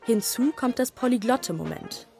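A woman reading a scripted radio feature narration in a measured, polished way over faint music. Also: on the high side, animated, gravelly.

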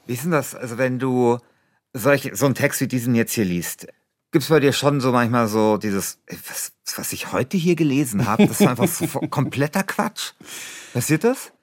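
A man's voice: mid-range voice, moderate pace, dry recording, studio quality. A man hosting a show, moving between narration and casual conversation. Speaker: podcast host.

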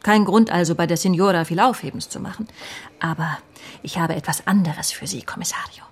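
An actress playing a sly, self-assured police secretary in a radio drama, with a knowing, teasing manner. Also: female voice, lowish voice, brisk, breathy edge. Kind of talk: naturalistic radio drama acting.

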